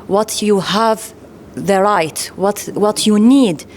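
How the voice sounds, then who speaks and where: A woman from Iraq with an Arabic accent, speaking English as a second language at a round-table discussion, pausing often and restarting her sentences. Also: medium pitch, a little mellow, a little hiss or hum. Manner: halting, many fillers and restarts. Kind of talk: panel discussion remarks.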